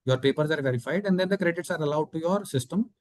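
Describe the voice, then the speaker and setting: A man's voice: on the high side, fast, no echo, studio quality. A man with an Indian accent, a company executive giving formal, methodical answers on an earnings call.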